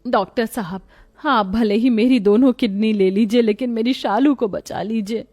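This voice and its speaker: A woman's voice: on the high side, moderate pace, a little mellow, lightly acted. A woman playing emotional women of a troubled family in a moral story, with emphatic, melodramatic delivery. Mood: hurt, pleading. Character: dramatic young and motherly women of a troubled family.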